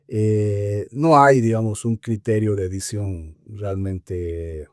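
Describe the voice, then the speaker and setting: A man with a Bolivian Spanish accent talking film criticism conversationally as a podcast co-host, haltingly searching for words with frequent filler tags. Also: on the low side, fairly even intonation, no room sound, clean studio sound.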